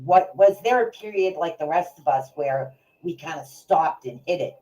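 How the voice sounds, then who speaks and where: A woman in her fifties co-hosting a casual interview, chatty and quick to react. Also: sits low, expressive, warm tone, rough recording. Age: 50s. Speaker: co-host.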